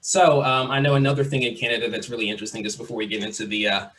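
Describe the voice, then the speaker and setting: A young man from Mississippi hosting a podcast, talking in a relaxed, chatty way and repeating his phrases. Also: mid-range voice, very fast, a little hiss or hum. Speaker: podcast host. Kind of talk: casual interview.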